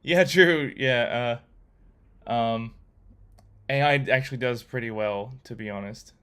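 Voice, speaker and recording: A young man on a laid-back coding livestream, talking loosely to his chat with plenty of ums and restarts. His voice sits at an ordinary middle pitch, and keyboard typing is heard behind him. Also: a little slow, clear, resonant tone. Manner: relaxed, rambling, reads chat aloud with many fillers. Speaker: livestreamer learning to code.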